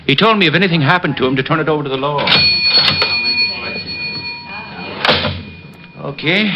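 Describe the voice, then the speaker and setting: A man's voice: a little high, rapid-fire, heavy background noise, close to an everyday voice. An actor playing an edgy, defensive man in an old-time radio crime drama, speaking emphatically. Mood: nervous, slangy. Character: edgy men in crime drama: slangy bartender, defensive crook. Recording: dull vintage radio recording.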